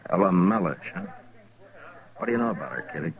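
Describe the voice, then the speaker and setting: An actor playing a weary, level-headed American frontier marshal, terse and dry, in an old-time radio western. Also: male voice, deep voice, lively intonation, fast talker, gravelly. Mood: guarded. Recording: dull vintage radio recording.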